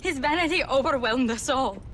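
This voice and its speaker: High-voiced, doom-laden young woman. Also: animated, normal speed, a bit bright, a little hiss or hum. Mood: accusing, ominous. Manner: dramatic, foreboding. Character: frightened, fatalistic young Mexican woman, ominous. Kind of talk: video game cutscene acting.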